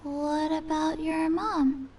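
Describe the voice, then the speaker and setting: A woman voicing a small, gentle, blank child in a video game, halting and deadpan. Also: high voice, a little hiss or hum. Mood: blank, calm.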